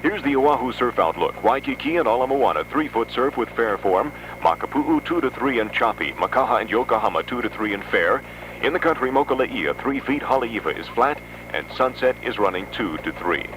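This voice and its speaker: Noisy 1970s radio newsman, formal and measured. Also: medium pitch, expressive. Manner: formal, measured announcer delivery. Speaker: radio news anchor. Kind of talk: 1970s radio news bulletin, scripted. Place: radio studio.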